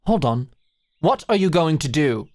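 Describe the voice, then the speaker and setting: Medieval audio drama: hot-headed young female ex-guard acted by a woman, challenging, lowish voice, lively inflection. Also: normal speed. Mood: defiant. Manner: blunt, challenging. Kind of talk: medieval fantasy audio roleplay acting.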